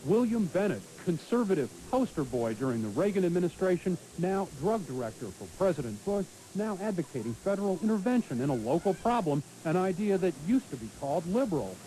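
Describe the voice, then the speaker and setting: A man's voice: sits high, sing-song, fairly quick, few pauses, mellow, poor audio. An American man delivering scripted broadcast commentary on a hissy late-eighties television tape. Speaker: news commentator.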